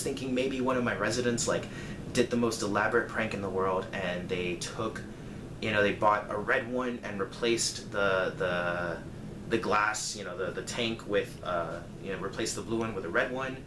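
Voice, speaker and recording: Male casual storyteller, lowish voice, rambling and conversational with dramatic emphasis; echoey, noisy room recording. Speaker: storyteller vlogger. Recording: echoey room, clear background noise.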